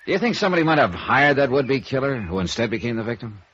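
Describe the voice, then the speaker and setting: An actor playing a hard-boiled, dry, probing American insurance investigator in 1950s radio noir, clipped and wry. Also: male voice, medium pitch, very animated, fast, a bit bright, a little hiss or hum. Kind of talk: 1950s radio noir acting with first-person narration. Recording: dull vintage radio recording with music.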